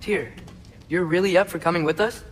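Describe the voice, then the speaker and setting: A man's voice: high-pitched, fairly even intonation, fairly quick, slight background noise, natural voice. An actor playing an earnest, curious teenage boy in a video game, talking casually and a bit hesitantly. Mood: questioning. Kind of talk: cinematic video game acting.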